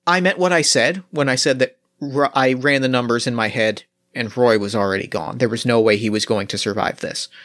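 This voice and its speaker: Man voicing a calm male android pilot, calculating, halting delivery, formal phrasing, mid-pitched steady voice. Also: lightly acted. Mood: matter-of-fact. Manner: halting, measured, oddly formal.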